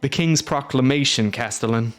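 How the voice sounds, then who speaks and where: A man voicing a calm, terse monster hunter who speaks quietly in short, flat lines. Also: medium pitch, moderate pace, faint music behind, lightly acted.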